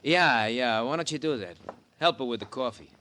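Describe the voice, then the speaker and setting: An actor playing a smug, falsely friendly small-time killer with a breezy, slangy, mocking manner in 1950s radio crime drama. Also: male voice, medium pitch, lively intonation, moderate pace, pushed and bright, slight background noise.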